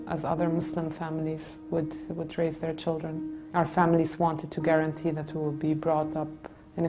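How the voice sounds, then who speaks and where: A woman in her twenties from Germany giving an earnest, reflective personal testimony in unscripted speech. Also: low-pitched. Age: 20s. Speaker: religious convert telling her story.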